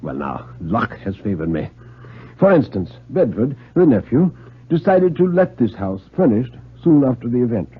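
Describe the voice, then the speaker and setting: A man voicing a genial, scheming old retired British police knight, theatrical and deliberate, in a radio drama. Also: medium pitch, big pitch swings, slightly rough. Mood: scheming, confident. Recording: muffled 1950s radio recording.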